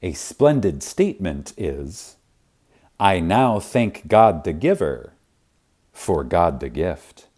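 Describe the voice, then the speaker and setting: A man with a dark, relaxed voice reads a spiritual text as an audiobook narrator. His delivery is very slow and reverent, with animated intonation. He leans on key words and leaves deliberate pauses for effect. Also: low voice. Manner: reverent, emphatic, dramatic pauses. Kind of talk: scripted audiobook reading of spiritual teaching.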